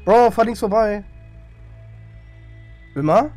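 A man in a casual roleplay game chat, barking quick directions and laughing often, speaking into a headset. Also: high voice, a little mellow, soft background music. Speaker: streamer playing a criminal character.

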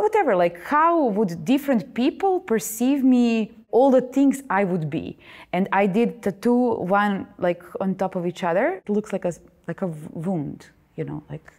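A woman's voice: lowish voice, a little mellow. A woman in her thirties with a Serbian accent, a visual artist talking animatedly in an interview, with many fillers and restarts. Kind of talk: interview monologue. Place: boxing gym, indoors.

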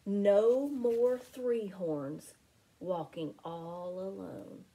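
Middle-aged female teacher for picture book read-aloud: mellow, slightly husky, sing-song delivery. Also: medium pitch, fairly even intonation, extremely slow, poor audio. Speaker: teacher reading aloud to children. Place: small home room with window blinds.

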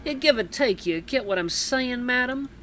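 Man doing a thuggish fish gangster, raised pitch, hammy pirate swagger, slimy, mock-polite, menacing game villain. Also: expressive, normal speed, fairly bright tone, a little hiss or hum. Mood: smooth-talking. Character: thuggish barracuda pirate mobster, slimy extortionist.

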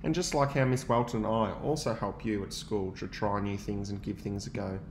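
A young adult male teacher, reading a picture book aloud to young students and pausing to ask them questions. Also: on the low side, roomy sound, background noise. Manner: gentle, expressive storytelling with pauses to ask questions.

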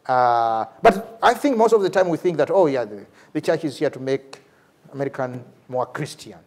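A middle-aged man with a Ugandan accent, speaking off the cuff as a lecturer, animated and repeating words for emphasis. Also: medium pitch, normal speed. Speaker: lecturer, theology professor and priest. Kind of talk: lecture with off-the-cuff answer to a question. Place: large university lecture hall, at a lectern.

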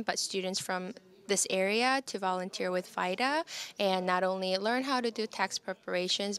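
A woman's voice: medium pitch, a bit bright, smooth. Woman, twenties, from South Korea, mid-range voice, intern giving an interview. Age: 20s. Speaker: student intern, volunteer tax preparer. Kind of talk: on-camera interview. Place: indoor community center. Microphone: handheld interview microphone.

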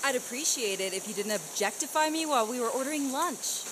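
A woman's voice: high voice, expressive, quick pace. A young woman playing a firm, indignant, sensible bike cop in a comedic audio drama. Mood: fed up, indignant. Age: young adult. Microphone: studio condenser mic. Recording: sizzling noise behind voice.

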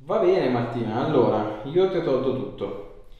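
A man's voice: medium pitch, animated, normal speed, muffled recording. A man in his thirties working as a chiropractor, giving short, reassuring instructions to a patient during a hands-on exam. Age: 30s. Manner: reassuring, encouraging. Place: small clinic treatment room. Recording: very echoey small room, faint noise, clicks.

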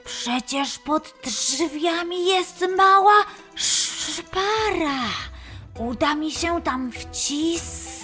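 A woman voicing a sly, clever little male snake in a children's story, quiet and drawn-out. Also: high voice, lively intonation, soft background music, voice pushed up, full character voice.

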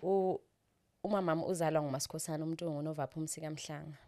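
A South African woman from the Eastern Cape, a guest telling her story in casual conversation, laughing often and pausing with fillers. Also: deep voice, steady tone, mellow, no echo.

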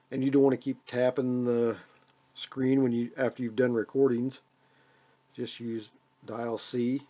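An American man with a mellow, relaxed voice walks through a piece of equipment in a casual home video. His pitch is average for a man, and he talks without a script, halting and repeating phrases as he goes. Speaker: radio hobbyist demonstrating a receiver. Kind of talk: casual hands-on video demonstration. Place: indoors at a radio desk.

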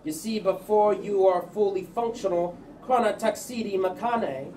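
A man's voice: high voice, moderate pace, darkish tone, lightly acted. A man playing a pompous, eager inventor professor in a staged screenplay reading. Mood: grandly persuasive. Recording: distant stage mic, faint noise.

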